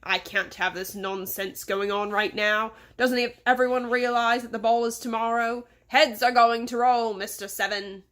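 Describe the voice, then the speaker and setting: A woman's voice: on the high side, flat delivery, normal speed, few pauses, a bit bright, close to an everyday voice. A woman voicing a pompous, insulting rich man with an English accent in a storybook, imperious and scolding. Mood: fuming, scornful.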